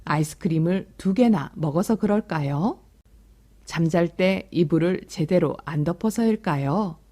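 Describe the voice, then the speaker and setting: A woman's voice: lowish voice, measured pace, dark tone. A woman storytelling teacher reading a picture book to young children, soothing and chatting to them along the way. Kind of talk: picture book read-aloud with commentary to children.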